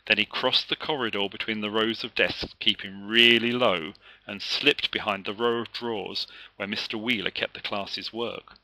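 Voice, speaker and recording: A man reading his children's story aloud as an author, with expressive narration. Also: lowish voice, moderate pace, rough recording.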